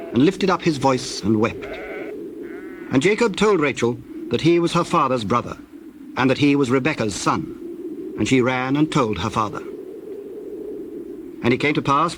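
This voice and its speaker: A man with a medium-pitched voice narrates scripture. His delivery is formal and measured, like scripted storytelling. Birdsong and cooing doves run clearly underneath. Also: background noise.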